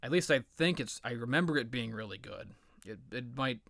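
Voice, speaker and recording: A man giving casual, rambling, opinionated video game commentary with sarcastic, profane ranting and a halting, repetitive delivery. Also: mid-range voice, expressive, vocal fry, fairly bright tone, dry recording, studio quality. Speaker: video game reviewer and host.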